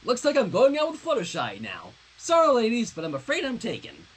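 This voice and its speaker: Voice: medium pitch, huge pitch swings, a little fast, slightly rough, pitched above the performer's own voice. A livestream reader voicing an exasperated, defiant teenage girl in a fan fiction story, incredulous and emphatic.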